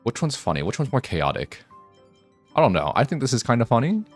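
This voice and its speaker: A man's voice: medium pitch, sing-song, brisk, faint music behind. A man doing casual live gameplay commentary as a streamer, rambling and thinking aloud, close to the microphone. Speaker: game streamer.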